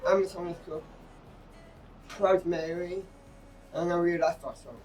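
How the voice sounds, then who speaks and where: Male interviewee, very slow and halting, repeats his openings, distant outdoor mic. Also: high-pitched, steady tone, darkish tone. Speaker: actor and drummer in a stage play being interviewed. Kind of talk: casual on-location interview. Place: outdoors on a sea front. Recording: distant mic outdoors, faint music behind.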